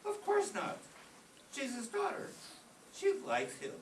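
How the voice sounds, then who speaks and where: Mischievous, eager high school boy played by an actor for radio comedy; animated swings, roomy far-off stage sound. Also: slow, exaggerated voice. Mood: gleeful scheming. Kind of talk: old-time radio comedy acting. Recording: distant echoey stage with clear noise.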